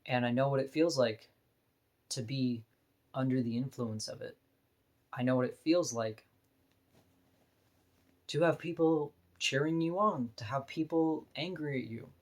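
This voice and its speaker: A woman with a deep voice, talking bluntly and frankly in a candid personal vlog monologue, laughing now and then between points. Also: a little slow, long pauses. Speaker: vlogger.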